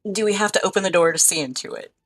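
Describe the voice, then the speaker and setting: A woman's voice: on the low side, rapid-fire, a bit bright. A woman talking casually as a player in a tabletop game, describing what her character does. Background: music.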